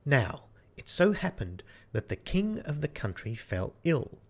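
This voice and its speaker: A man's voice: a little high, big pitch swings, unhurried, darkish tone. A man reading a fairy tale as a storyteller, in scripted narration.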